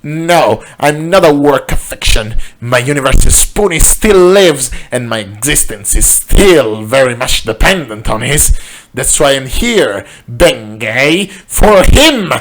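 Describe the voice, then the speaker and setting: A man puts on a theatrical mad scientist villain for a comic tale. The voice is raised in pitch and loud. The delivery is grandiose and taunting, full of declamation. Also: animated, slow, slight background noise. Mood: grandiose, menacing.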